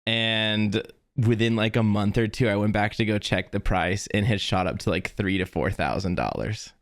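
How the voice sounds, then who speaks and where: A man's voice: fairly low pitch, normal speed, no room sound, studio quality. A man in his twenties telling personal stories in casual, slangy chat, often laughing as he talks. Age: 20s. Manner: laughs while talking, informal slang.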